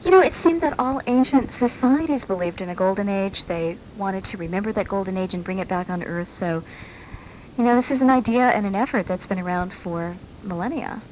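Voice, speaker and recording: A woman's voice: medium pitch, fairly quick, a little mellow, slight background noise, rough recording. A woman hosting a conversational radio talk show, inquisitive and reflective, taped from a shortwave broadcast.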